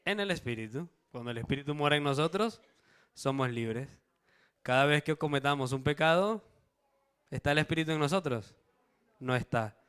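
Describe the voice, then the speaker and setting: A man's voice: on the high side, animated, normal speed, long pauses, a bit bright, clear, resonant tone. A man preaching to a church congregation. He reads out verses, asks the congregation questions and checks in with them. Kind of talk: Bible study sermon to a congregation.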